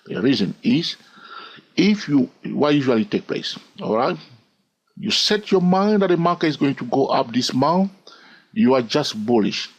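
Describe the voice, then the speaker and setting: Animated husky male trading teacher. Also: medium pitch, measured pace, poor audio. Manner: animated, repetitive, keeps asking "all right?". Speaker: trading instructor. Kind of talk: screen-recorded trading tutorial.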